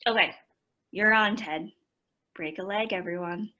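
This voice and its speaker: A woman's voice: medium pitch, unhurried, sharp tone. An actress playing a spirited young woman who narrates family life in a radio play. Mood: brisk.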